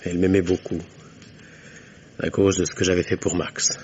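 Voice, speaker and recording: Deep-voiced, formal schemer male actor, noisy recording. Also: little pitch movement, normal speed, darkish tone, muffled recording, close to an everyday voice. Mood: confident, smug. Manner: formal, polite, self-possessed. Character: polite, smooth-talking forger posing as loyal friend. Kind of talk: 1950s French radio mystery acting. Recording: noisy old radio recording.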